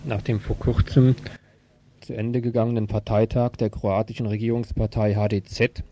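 A man's voice: on the low side, level tone, normal speed, dark tone. A man giving a radio news report in a hesitant manner, searching for his words.

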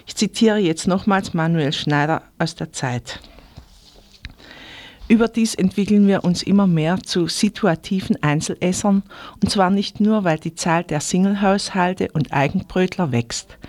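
A woman's voice: fairly low pitch, measured pace, a little mellow, no echo. A woman giving a chatty, scripted report as a radio market reporter.

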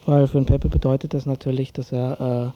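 A man gives a relaxed, colloquial interview. His voice is dark and soft-edged, with little pitch movement. He hesitates now and then and tags his statements with small questions. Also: moderate pace, dull audio. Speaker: interviewee from a local media workshop. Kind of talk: casual spoken interview.

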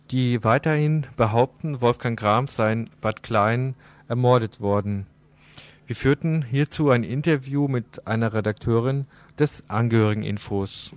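A man's voice: mid-range voice, no room sound. A man hosting a radio program, reading introductions and putting questions to a guest. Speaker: radio host and interviewer. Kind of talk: radio news intro and interview.

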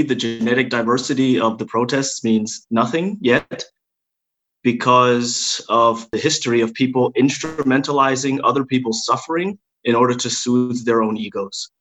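A man's voice: medium pitch, a bit bright, poor audio. A man speaking as a panelist in a personal, reflective way.

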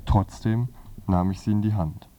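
A man's voice: lowish voice, fairly even intonation, moderate pace, dark tone, slight background noise. A man presenting a scripted magazine review on the radio as narrator.

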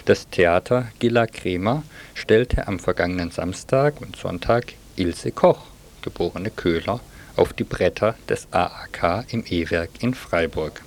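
Male radio culture reporter, low-pitched, delivering scripted review narration.

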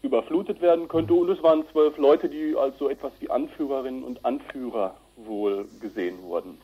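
A man giving a telephone interview, explaining as a spokesman in emphatic, halting phrases. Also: medium pitch, warm tone, breathy edge. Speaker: human rights organisation director being interviewed. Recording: dull, band-limited telephone line.

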